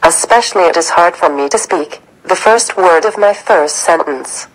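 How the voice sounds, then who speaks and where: Korean-accented woman, slightly low voice, reads her personal story carefully. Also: moderate pace, poor audio. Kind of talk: sharing a prepared personal story.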